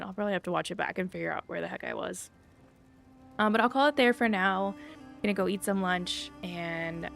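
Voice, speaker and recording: A woman game streamer chatting casually and informally to viewers, rambling a little in a creaky voice. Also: mid-range voice. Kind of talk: casual livestream chat over gameplay. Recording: faint music behind the voice. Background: faint game music.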